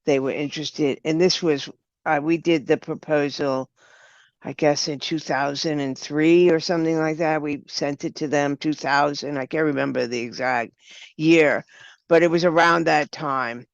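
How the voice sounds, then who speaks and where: An older woman with a low, smooth voice, speaking conversationally as an interview guest about her work. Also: steady tone, normal speed, no room sound, lo-fi sound. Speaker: guest, writer and director.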